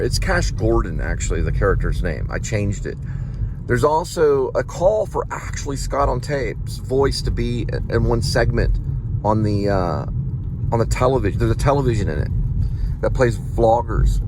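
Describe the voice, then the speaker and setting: A man giving a casual, rambling vlog monologue, halting often and drifting off on tangents, recorded inside a car. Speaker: vlogger, amateur playwright, delivery driver. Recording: noisy car interior, vehicle rumble.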